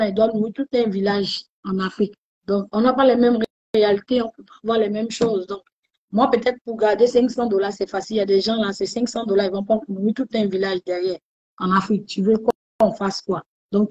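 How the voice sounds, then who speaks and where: A woman's voice: mid-range voice, dry recording. Chatty woman on a dull, dry livestream. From West Africa. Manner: warm, chatty, repeats herself, many fillers. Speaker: livestream host. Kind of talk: casual motivational livestream talk.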